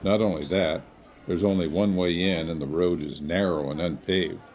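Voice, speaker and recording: A man playing a courteous small-town storyteller in an audio drama, with a folksy, measured, old-fashioned politeness. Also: sits low, fairly even intonation, darkish tone, lightly acted. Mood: helpful.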